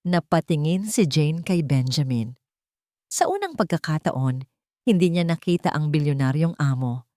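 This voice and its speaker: A woman narrating a scripted romance drama in a calm, measured way. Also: deep voice, dry recording, studio quality.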